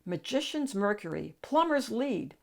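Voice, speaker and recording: A woman's voice: a little high, very animated, measured pace, creaky, pitched above the performer's own voice, full character voice. Woman acting a pompous, fearless knight. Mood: scornful. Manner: pompous, declaiming in rhyme. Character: fearless, haughty armored knight guarding a castle. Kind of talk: storybook character voice.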